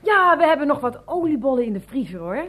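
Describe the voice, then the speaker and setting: An actress playing a tart, controlling wife in a radio play, speaking with pointed, sarcastic politeness. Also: female voice, sits high, very animated, fast talker, fairly bright tone. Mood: sweetly barbed.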